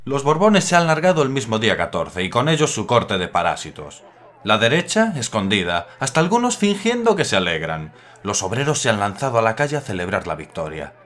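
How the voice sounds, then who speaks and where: A man's voice: fairly low pitch, very animated, brisk, fairly bright tone. An actor in a radio drama playing an idealistic young republican man, speaking earnestly and with passion. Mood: impassioned. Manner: earnest, impassioned.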